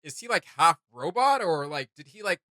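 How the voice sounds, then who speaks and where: Bright-voiced man, enthusiastic and rambling. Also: fairly high pitch, big pitch swings, normal speed, dry recording, studio quality. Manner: enthusiastic, rambling, thinking aloud. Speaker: reaction channel host. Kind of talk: casual reaction chat.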